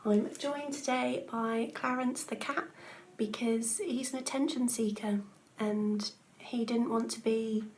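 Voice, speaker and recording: A woman's voice: a little high, fairly even intonation, takes it slowly, a little mellow. A woman reading a children's story aloud expressively, in the manner of a schoolteacher.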